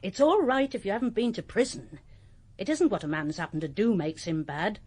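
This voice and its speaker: A husky, homely old English landlady. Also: medium pitch, lively intonation, brisk, darkish tone. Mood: frank, kindly. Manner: homely, matter-of-fact, good-humoured. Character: kindly, plain-spoken plump old country inn landlady. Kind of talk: naturalistic radio drama acting.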